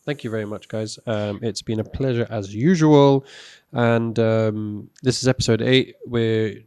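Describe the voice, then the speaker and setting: A man's voice: fairly low pitch, fairly even intonation, unhurried, warm tone, clear, resonant tone. A man in his thirties co-hosting a podcast, talking earnestly and casually, often restarting and repeating words. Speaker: podcast co-host. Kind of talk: casual chat.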